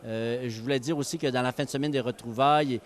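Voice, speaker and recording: A man with a Québécois French accent delivers a formal public announcement as a local official. He speaks at an ordinary pitch and stumbles often, filling gaps with hesitant sounds. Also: steady tone, quick pace. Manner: hesitant, many fillers. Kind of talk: press announcement.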